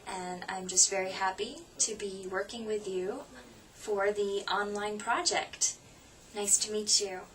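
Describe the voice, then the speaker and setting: A woman's voice: medium pitch, fairly even intonation, unhurried, fairly bright tone. Lecturer lady saying hello, plain easy manner, bit far from the mic. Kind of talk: self-introduction for an online course. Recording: distant mic with faint noise.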